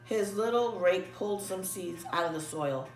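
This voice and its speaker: A woman's voice: mid-range voice, moderate pace. Echoey, distant woman reading a children's story. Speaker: storybook reader. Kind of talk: expressive children's story read-aloud. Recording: echoey room, distant mic, music behind.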